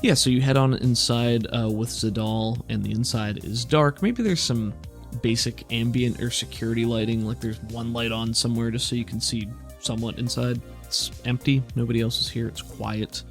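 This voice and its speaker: A man narrates a tabletop roleplaying game as its game master. His voice sits at a middle pitch, and he builds scenes in a loose, conversational way. Faint music plays underneath. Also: fairly even intonation, moderate pace.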